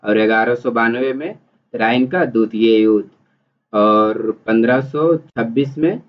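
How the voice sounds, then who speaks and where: A man's voice: medium pitch, unhurried. A man teaching exam prep, reading multiple-choice questions and explaining the answers in an instructional, repetitive way. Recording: dull, muffled lo-fi audio.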